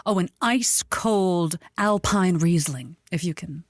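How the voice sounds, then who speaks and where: An actress playing a haughty, flirtatious radio host who puts on glamorous sophistication, with an airy, superior manner in a comedic audio drama. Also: female voice, on the low side, measured pace, dry recording, clean studio sound, natural voice. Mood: pretentious, musing.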